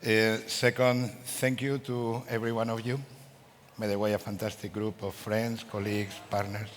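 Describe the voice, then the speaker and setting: A native Spanish speaker from Spain opens a conference talk in English with friendly jokes. His voice sits low. There is a faint wash of room noise behind him. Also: little pitch movement, moderate pace. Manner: friendly, joking opener. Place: large conference hall.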